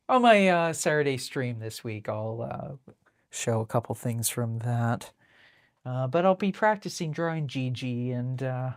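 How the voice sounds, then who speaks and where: A woman with a low contralto voice hosts a casual live drawing stream. She rambles in an easy conversational style, often interrupting herself mid-thought. The sound is dry, with very little room echo. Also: a little mellow. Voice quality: deep contralto voice. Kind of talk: casual chat while drawing.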